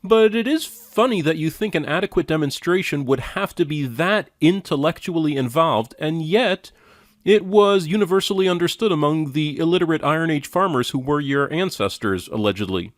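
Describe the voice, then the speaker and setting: Skeptical man with a higher, dry-recorded voice. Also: animated, normal speed, dry recording. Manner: skeptical, sardonic, challenging. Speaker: commentator reviewing and rebutting a lecture. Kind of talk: critical reaction commentary.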